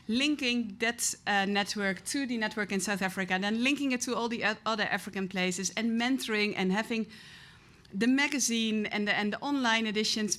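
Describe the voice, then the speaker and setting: A woman from the Netherlands, a government official, speaking from the heart at an event in a warm, rambling way. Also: medium pitch. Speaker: government official giving remarks. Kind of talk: informal speech from the stage. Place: event hall.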